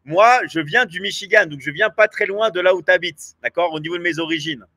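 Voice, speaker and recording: A man hosting a live online stream talks eagerly, looping through fillers and repeats. His voice sits a little high and bright, with a forceful edge. The sound is dry and close. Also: brisk, dry recording. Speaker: live show host. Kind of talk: live stream sales chat. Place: at home in South America.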